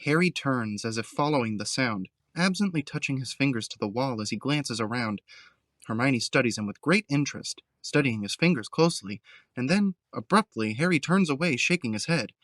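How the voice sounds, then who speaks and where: A man's voice: medium pitch, animated, moderate pace, a bit bright, no echo, studio quality. A man narrating an audio drama in a scripted, scene-setting style.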